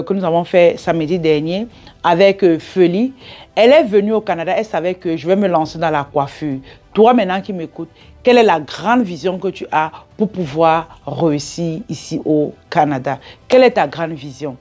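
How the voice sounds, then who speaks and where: A woman's voice: on the low side, normal speed, warm tone, faint music behind. A woman with an African French accent giving advice straight to viewers in an emphatic, instructive way, often asking rhetorical questions and checking in. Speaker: business coach and video host. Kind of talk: direct-to-audience advice vlog.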